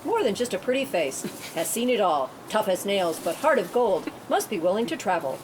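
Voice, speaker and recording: A woman's voice: medium pitch, very animated, moderate pace. A woman reading scripted stage directions as narrator at a table read, in a noisy room.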